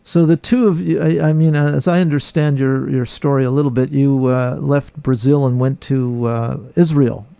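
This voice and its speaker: A man hosting a radio interview, welcoming listeners and guests in a formal, deliberate way. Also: mid-range voice, fairly even intonation, vocal fry, mellow, clear, resonant tone, dry recording.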